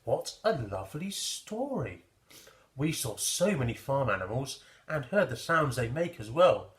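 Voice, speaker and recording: A man's voice: mid-range voice, sing-song, unhurried, fairly bright tone, echoey room. A man reading a children's story expressively and theatrically, explaining things simply for small children. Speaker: storybook reader.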